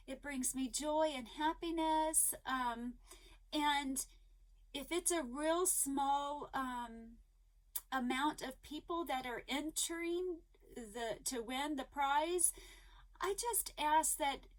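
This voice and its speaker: Upbeat woman vlogger with a high voice, slightly hoarse, rambling chat full of ums. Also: poor audio. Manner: chatty, rambling with many ums and restarts; eager and upbeat. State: slightly hoarse, losing her voice. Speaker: crochet vlogger. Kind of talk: casual chat to viewers.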